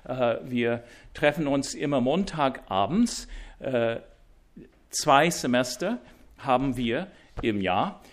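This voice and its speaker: A man with an English-speaking accent, a guest preacher opening a sermon in halting speech full of fillers and restarts, with dry self-deprecating humor. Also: medium pitch, animated. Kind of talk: sermon introduction. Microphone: wireless mic.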